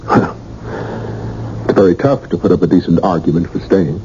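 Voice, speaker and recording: An actor playing a frustrated, straying husband in a 1980s radio drama, sardonic and impassioned. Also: male voice, low-pitched, steady tone, moderate pace, mellow, lightly acted. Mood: wry, resigned. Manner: sardonic, exasperated. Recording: muffled, noisy old radio broadcast.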